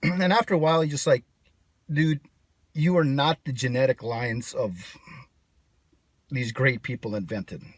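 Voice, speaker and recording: A man's voice: mid-range voice, unhurried, long pauses, no echo. A man from the United States talking in a brash, sarcastic, rambling monologue to camera. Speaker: channel host. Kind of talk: casual vlog monologue.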